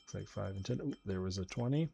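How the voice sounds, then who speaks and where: A man's voice: lowish voice, lively intonation, fast talker, vocal fry, dark tone, highs cut off, faint music behind. A man giving casual, explanatory commentary as he hosts a video game playthrough. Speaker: gaming video host.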